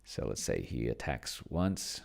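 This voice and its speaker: Male, very low creaky voice, rambling solo gamer narrating his screen and dictating what he types, keyboard clicking. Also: very animated. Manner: rambling, muttering to himself, slowly reading aloud as he types. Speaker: solo tabletop role-player demonstrating a game. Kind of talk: casual think-aloud screen commentary.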